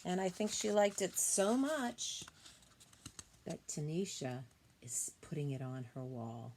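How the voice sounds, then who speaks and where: A woman's voice: low voice, takes it slowly, darkish tone. Woman reading aloud, noisy recording. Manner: explanatory, simple phrasing aimed at young children. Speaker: teacher reading a picture book aloud. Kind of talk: picture book read-aloud with informal commentary on the illustrations.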